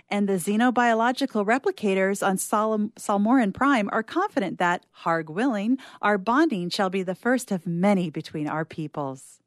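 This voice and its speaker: A woman's voice: medium pitch, no room sound, studio quality, close to an everyday voice. A woman playing an earnest bride-to-be, reading a formal, ceremonious announcement in a comic science-fiction story. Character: gushing, formal bride-to-be announcing interspecies gift registry.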